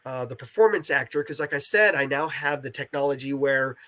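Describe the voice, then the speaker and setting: A man's voice: mid-range voice, expressive, fairly quick, sharp tone. A man with a congested, nasal voice talking enthusiastically and explaining his creative work in a casual interview.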